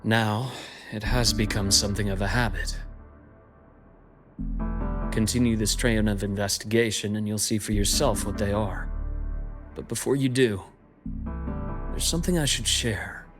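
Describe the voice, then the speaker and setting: A composed investigator in a story-driven game voiced by a male actor, with a fairly low voice. He lays things out deliberately and articulately, with a dry edge over an old, quiet hatred. Music plays clearly behind him. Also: fairly even intonation, close to an everyday voice. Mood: quietly bitter. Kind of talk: scripted game story dialogue with exposition. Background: background music.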